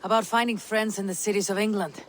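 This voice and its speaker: A steadfast Viking warrior woman voiced by an actress speaks at a medium pitch. Her lines are short, formal and resolute, like game cutscene drama. Ambient noise is clear behind her. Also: steady tone, quick pace, lightly acted, low-quality recording. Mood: steady, resolute. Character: steadfast, calm Viking warrior and raid leader.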